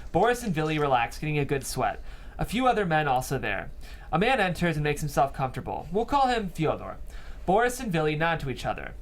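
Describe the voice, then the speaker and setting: A man's voice: on the high side, big pitch swings, brisk. A man reading stage directions as narrator at a script table read.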